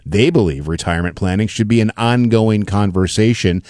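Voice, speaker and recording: A man's voice: fairly low pitch, normal speed, a little mellow, no room sound, clean studio sound. A man reading a scripted radio endorsement in a conversational, direct-address style. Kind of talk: scripted advert read, conversational.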